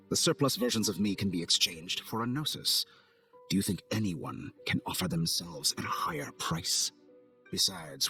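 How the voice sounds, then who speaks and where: An actor playing an arrogant villain scientist in a game, with a measured, condescending, theatrical delivery. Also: male voice, sits low, bright, forceful tone. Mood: smug, composed. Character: suave, arrogant male villain scientist, coldly amused schemer. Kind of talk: video game cutscene acting. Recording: studio voice over game music.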